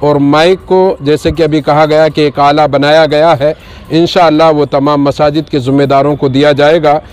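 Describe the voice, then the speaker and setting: Slightly high-voiced man making an earnest appeal. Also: level tone, normal speed, a little mellow, smooth. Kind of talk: press statement.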